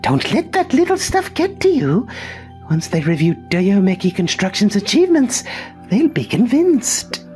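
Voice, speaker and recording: A woman voicing a cocky, careless young boss's son in a manga dub, breezy and excitable. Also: mid-range voice, a little slow. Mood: dismissive. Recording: music under the voice.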